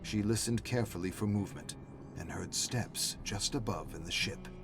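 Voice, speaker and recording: A man's voice: on the low side, normal speed, creaky, music in the background. A man reading a story as a narrator, in a dramatic scripted style.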